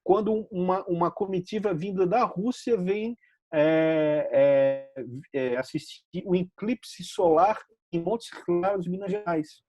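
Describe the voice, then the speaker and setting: A Brazilian man giving recommendations on a talk show, halting and repeating words as he speaks. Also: sits high, darkish tone. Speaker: regular guest giving tips. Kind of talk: casual discussion show.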